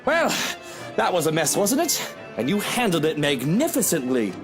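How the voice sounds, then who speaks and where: A man's voice: on the high side, huge pitch swings, normal speed, over music. A man playing a self-important aristocratic husband, theatrical and courtly, in a video game drama. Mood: courtly, smooth.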